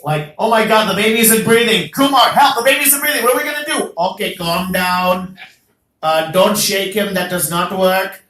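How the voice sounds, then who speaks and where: A young man doing a stand-up comedy set as the host of an open mic, animated and jokey, with loud bursts on the punchlines. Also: high voice, normal speed, echoey room. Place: small comedy venue.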